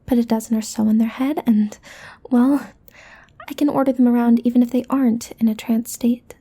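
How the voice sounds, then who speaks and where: A woman's voice: a little high, fairly even intonation, moderate pace, mellow, breathy edge. A woman playing a possessive, teasing succubus demon in an ASMR roleplay, often dropping into a whisper. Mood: smug, possessive.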